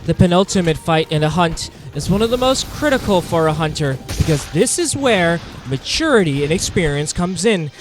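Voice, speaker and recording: A man narrating video game play in a theatrical, intense documentary style with deliberate phrasing, speaking as the hunter himself. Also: a little high, big pitch swings, noisy background. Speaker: gaming video narrator playing a monster hunter.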